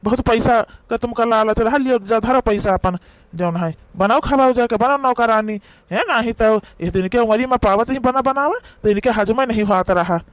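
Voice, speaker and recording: A man's voice: very high voice, normal speed, no echo. A man with an Awadhi accent voicing a sarcastic, grumbling old father-in-law in a cartoon. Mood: sarcastic, scolding. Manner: nagging, sarcastic. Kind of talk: amateur cartoon voice acting.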